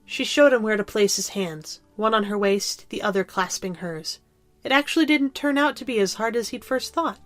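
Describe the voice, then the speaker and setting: A woman's voice: mid-range voice, moderate pace, a bit bright, dry recording, soft background music. A woman reading scripted story narration as the narrator of an audio drama.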